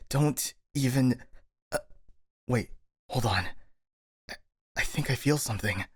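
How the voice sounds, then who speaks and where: A man's voice: mid-range voice, takes it slowly, husky, no echo, clean studio sound. A man playing a worried, exasperated master ninja in an audio roleplay, with a wry, scolding, grumbling manner. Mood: exasperated, flustered.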